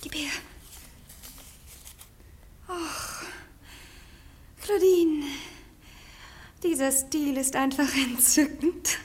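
A woman in a radio play acting a coquettish, haughty and defiant young wife of high birth. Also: drawn-out words, pauses often, a little mellow. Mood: coy.

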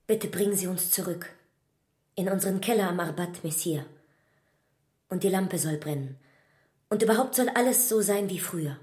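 A woman's voice: on the low side, normal speed, pauses often, darkish tone, close to an everyday voice. An actress playing a devoted, passionate woman in a radio drama, fervent and imploring. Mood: pleading. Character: devoted, passionate woman protecting her broken lover.

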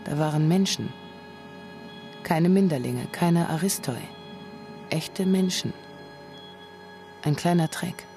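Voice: medium pitch, slow, long pauses, darkish tone. A narrator reading a radio drama in a scripted, literary storytelling style. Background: music.

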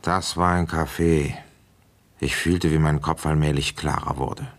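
An actor playing a weary village police inspector in a radio play, speaking in a deliberate, reflective way with pauses mid-thought. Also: male voice, very deep voice, fairly even intonation, slight background noise. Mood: musing, woozy. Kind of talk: first-person radio play narration and dialogue.

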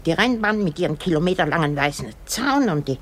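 A woman's voice: deep voice, animated, fairly quick. An actress playing an elderly, cantankerous, self-pitying woman in a radio drama, exclaiming and groaning with effort. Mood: aggrieved, grandiose.

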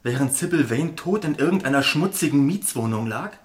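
An actor playing an anguished, devoted painter in a German radio drama, earnest and full of reproach. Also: male voice, mid-range voice, lively intonation, fairly quick, natural voice. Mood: reproachful, agitated. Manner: earnest, emotional, pained.

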